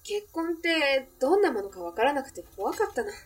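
A woman's voice: high-pitched, very animated, a little fast, recorded from a distance. A woman playing a wistful, reflective cafe owner remembering a lost love in a Japanese audio drama. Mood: wistful, nostalgic. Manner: reflective, feminine, emotive storytelling.

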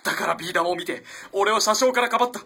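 Casting: male actor as lovestruck young student for a period radio drama; bright, slightly rough voice, earnest and halting. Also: high-pitched, full character voice. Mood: agitated, pleading. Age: young adult. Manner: earnest, halting, swinging between hushed and impassioned. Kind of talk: dramatic radio play acting.

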